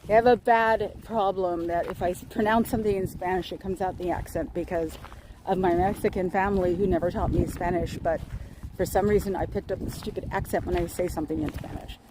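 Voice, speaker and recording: A woman's voice: fairly low pitch, fairly even intonation, brisk, noisy background. A woman chatting casually while walking outdoors, teasing her friend and laughing often. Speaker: vlog host. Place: outdoors in nature.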